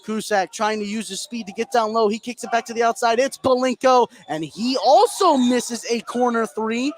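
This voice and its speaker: A man giving excitable live play-by-play radio commentary on a basketball game, his voice rising on big plays. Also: very high voice, moderate pace. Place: live at a high school basketball gym. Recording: clear background noise, gym ambience.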